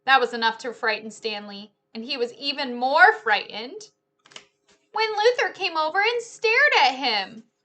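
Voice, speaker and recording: A woman in her thirties reading a children's story aloud in an expressive, animated way, indoors on a webcam. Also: high voice, a little slow. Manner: animated, hushing and swelling with the story. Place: small living room, indoors. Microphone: webcam at desk distance.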